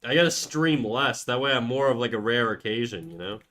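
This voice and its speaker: A man's voice: mid-range voice, expressive, brisk, sharp tone, slight background noise. A young man with a cold, sounding stuffy and nasal, chatting casually and swearing on a livestream. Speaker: streamer. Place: home room.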